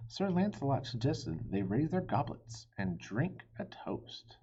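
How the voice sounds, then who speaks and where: A man reading a children's story aloud expressively, with animated delivery and chatty asides to his listeners. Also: medium pitch, breathy edge, dull audio. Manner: animated, pausing to chat to listeners. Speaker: storybook reader.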